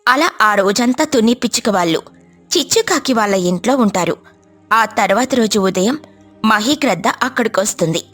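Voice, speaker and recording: A woman's voice: fairly high pitch, animated, normal speed, fairly bright tone, faint music behind. A woman narrating a scripted children's story as a storyteller.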